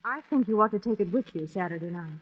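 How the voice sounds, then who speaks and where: An actress playing an anxious, cautious sweetheart in an old-time radio thriller. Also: female voice, medium pitch, lively intonation, fast talker, breathy edge. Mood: worried. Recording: muffled old radio broadcast.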